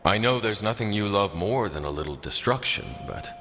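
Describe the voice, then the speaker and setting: An actor playing an awkward, brooding former lover in a video game. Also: male voice, on the low side, lively intonation, fairly quick, lightly acted. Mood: uneasy. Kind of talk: video game character acting. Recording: long reverb, music underneath.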